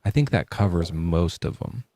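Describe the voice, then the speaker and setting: A man's voice: low voice, animated, moderate pace, mellow, no echo. A male podcast host talking casually from his garage in a jokey, loose way, with plenty of swearing. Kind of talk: casual debate chat. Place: home garage.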